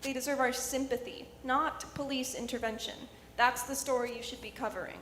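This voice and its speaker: Lady doing a preachy dismissive lawyer politician in a radio play, high voice, echoey live stage. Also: steady tone, a little fast, a bit bright. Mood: dismissive, indignant. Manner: dismissive, earnest, lecturing. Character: dismissive, self-righteous female district attorney. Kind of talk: radio drama acting, mock interview. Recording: echoey hall, live stage, faint noise.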